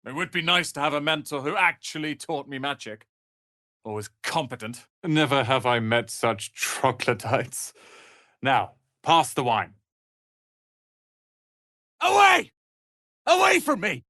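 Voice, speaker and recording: A man's voice: medium pitch, very animated, unhurried, long pauses, bright, forceful tone, no echo, clean studio sound, full character voice. A man playing a proud young wizard's apprentice in a fantasy video game, speaking in a pompous, articulate, theatrical manner. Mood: haughty, irritated, then agitated, urgent.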